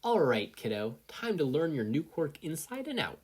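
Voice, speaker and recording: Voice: mid-range voice, very animated, normal speed, a little mellow, husky, voice pushed up. A narrator voicing a confident, breezy adult woman who mentors a young hero in a casual, self-assured way.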